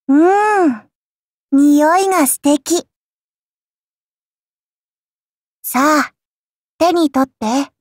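Dreamy curious little space boy from an anime game, high soft voice, takes his time. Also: no room sound, clean studio sound, heavily acted. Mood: polite, pleased. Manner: soft, unhurried, childlike wonder. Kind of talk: Japanese anime mobile game character voice lines.

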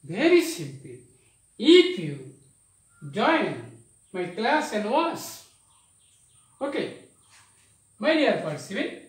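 A middle-aged man teaching English grammar at a whiteboard, speaking deliberately and repeating himself as he addresses his viewers directly. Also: sits high. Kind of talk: whiteboard lesson to camera. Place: small indoor room at a whiteboard. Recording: very echoey room, distant-sounding voice.

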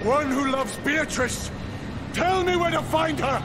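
An actor playing a hot-tempered crusader knight in a video game, forceful and indignant. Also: male voice, extremely high pitch, measured pace. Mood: defiant anger. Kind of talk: video game cutscene acting. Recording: voice under very loud game music.

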